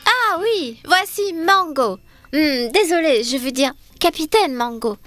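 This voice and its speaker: A woman's voice: high-pitched, animated, slow pace, fairly bright tone, no room sound, faint music behind, full character voice. A woman voicing a cheerful, curious young girl in a children's cartoon, with enthusiastic, wide-eyed delivery. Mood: curious, eager.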